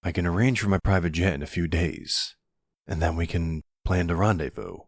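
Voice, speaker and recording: A man voices a protective young-hearted mafia boss boyfriend in an intimate roleplay drama. His low, husky voice sits close and dry, and he talks in a measured, reassuring, earnest way. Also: no echo. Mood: assured. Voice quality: deep, husky. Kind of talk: ASMR audio roleplay drama.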